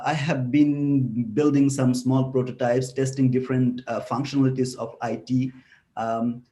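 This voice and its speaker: A man's voice: medium pitch, warm tone. A young man from Bangladesh giving an academic webinar talk over a video call, hesitant with many filler sounds. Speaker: webinar presenter, researcher. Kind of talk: academic presentation. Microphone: computer mic on a video call.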